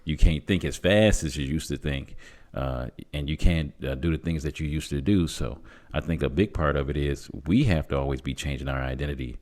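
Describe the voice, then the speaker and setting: A man's voice: a bass voice, brisk, few pauses, darkish tone. A man in his fifties hosting a podcast, plainspoken and affirming, chatting casually with his guest. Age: 50s. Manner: affirming, frequent backchannel agreement, plainspoken. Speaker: podcast host. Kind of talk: casual chat.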